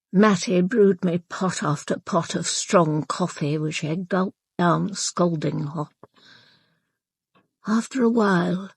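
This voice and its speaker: An elderly upper-class British lady, low and a little husky. She is stoic and imperious, speaking in slow, formal, clipped phrases in a radio drama, recorded close and dry. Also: no room sound, heavily acted. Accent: upper-class British English. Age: 80s. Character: proud, grieving elderly aristocratic mother, stoic and imperious. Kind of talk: radio drama with first-person narration.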